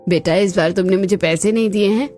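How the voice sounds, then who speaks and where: A woman's voice: mid-range voice, fairly quick, mellow, close to an everyday voice. A woman playing a demanding, easily offended middle-aged mother-in-law in a cartoon story, with music behind her. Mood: complaining. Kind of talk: Hindi cartoon story dialogue.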